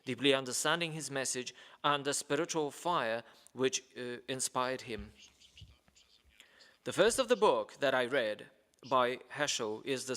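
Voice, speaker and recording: A man's voice: mid-range voice, a bit bright, clear, resonant tone. A man reading a letter aloud at a ceremony in a formal, hesitant way, with many fillers and stumbles over names. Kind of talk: formal speech read aloud. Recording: long reverb of a large hall.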